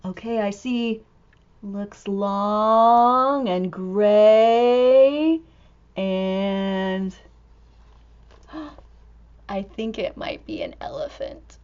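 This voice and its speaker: A woman storyteller reading a picture book to young children in an animated, exclamatory way, full of expressive wonder. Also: medium pitch, very slow, long pauses, highs cut off. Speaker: storytime reader and teacher.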